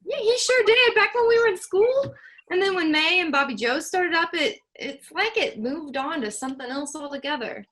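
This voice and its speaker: A woman reading a patient, gently teasing grown daughter in a staged play reading. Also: extremely high pitch, lively intonation, normal speed. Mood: gentle. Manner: soft, easygoing, gently teasing.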